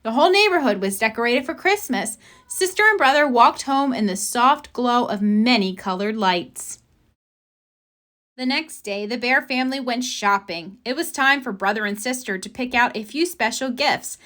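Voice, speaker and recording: A woman's voice: fairly high pitch, expressive, moderate pace, a bit bright. A woman reading a children's picture book aloud as a storybook narrator. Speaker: storybook reader.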